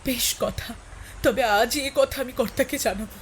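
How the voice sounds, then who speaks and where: A woman's voice: on the high side, unhurried, slight background noise, lightly acted. A woman playing a fretful, doting mother. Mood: calm. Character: anxious middle-aged mother, fretful and doting.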